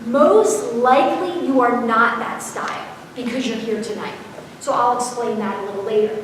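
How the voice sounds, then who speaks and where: Loud unmiked woman in an echoey hall. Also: a little high, moderate pace, slight background noise. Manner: loud, energetic, unmiked voice projecting to a room. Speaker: parenting seminar speaker. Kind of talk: live seminar talk to parents. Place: school auditorium or large hall. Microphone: camera far off, speaker not miked. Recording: echoey hall recorded from far off.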